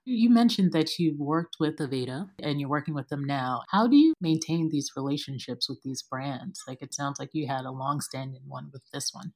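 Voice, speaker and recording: Low-voiced encouraging woman host. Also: expressive, normal speed, hardly any pauses, a little mellow. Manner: encouraging, asks follow-up questions. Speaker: interview host. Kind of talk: casual interview chat.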